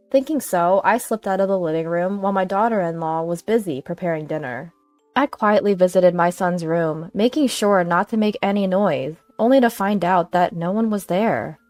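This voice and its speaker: A woman's voice: on the low side, a little mellow, no room sound. A woman reading scripted first-person dramatic narration as a storyteller.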